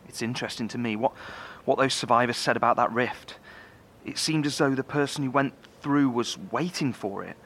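A man's voice: mid-range voice, normal speed, fairly bright tone. A man playing a fretful, inquisitive bunker dweller in a horror audio drama, talking in a brooding, questioning way. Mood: pondering, frustrated.

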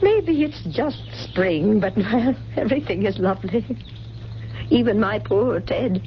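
An actress playing a genteel, wistful middle-aged mother with a bad back in a 1970s radio drama, speaking in halting, exclaiming phrases. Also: mid-range voice, animated. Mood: wistful, cheerful. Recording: muffled, noisy old radio broadcast.

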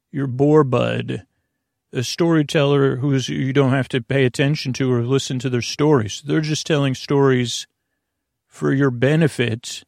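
Creaky-voiced man rambling as bedtime podcast host. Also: medium pitch, darkish tone, no echo, clean studio sound. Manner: meandering, mumbling, digressive, halting. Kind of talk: rambling sleep podcast monologue.

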